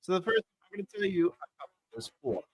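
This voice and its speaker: A man's voice: high-pitched, very animated, fast, breathy edge, dry recording. A man hosting a live writing class, chatting loosely with lots of fillers and restarts while he adjusts his microphone. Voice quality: big voice. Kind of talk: casual livestream chat. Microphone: desk microphone.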